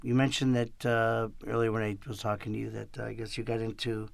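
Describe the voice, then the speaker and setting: Male host, fellow comic, gravelly voice, dry close sound, easygoing filler-heavy questions. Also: lowish voice, flat delivery, fairly quick, no echo. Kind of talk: casual interview chat.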